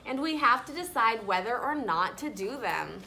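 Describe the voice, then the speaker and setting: A firm, patient woman teacher from a storybook, voiced by a woman reader. Her voice is rather high and very bright, over faint noise. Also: lively intonation, normal speed, creaky, heavily acted. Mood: patient explaining.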